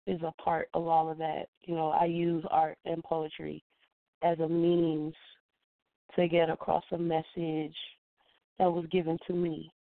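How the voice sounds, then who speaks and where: A congested woman recovering from the flu, speaking deliberately and reflectively as an interview guest who is a poet and educator. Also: low-pitched, creaky, no room sound. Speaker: featured guest, spoken word poet and educator. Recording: muffled phone-quality call-in line.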